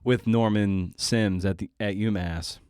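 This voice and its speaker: A man's voice: fairly low pitch, fairly even intonation, measured pace, mellow, smooth, no echo. A man hosting a podcast, delivering an informal intro monologue with emphatic phrasing and playful asides. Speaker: podcast host.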